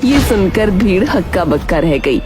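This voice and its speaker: A woman's voice: medium pitch, big pitch swings, measured pace. A woman narrating a scripted cartoon moral story with dramatic storytelling delivery. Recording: music behind the voice.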